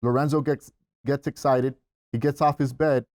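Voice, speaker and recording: A man's voice: medium pitch, steady tone, moderate pace, a little mellow. Man narrating screenplay stage directions. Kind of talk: scripted screenplay narration at a table read.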